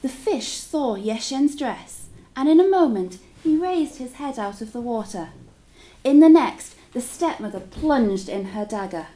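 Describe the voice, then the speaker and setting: A woman's voice: a little high, expressive, measured pace, mellow. A woman teacher reading a story aloud to children in a dramatic, engaging way. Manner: dramatic, engaging listeners with questions.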